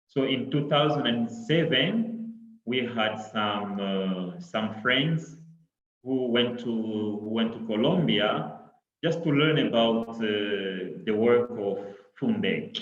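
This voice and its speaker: A middle-aged man from Congo with a Congolese accent, his first languages Kiswahili and French, giving an online talk in a halting way full of repeats and "you know". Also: lowish voice. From Democratic Republic of Congo. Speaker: presenter. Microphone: laptop on a video call. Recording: echoey, dull laptop audio.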